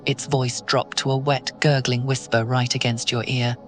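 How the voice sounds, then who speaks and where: A narrator telling a horror story in second person, slow and ominous. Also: medium pitch, flat delivery, faint music behind.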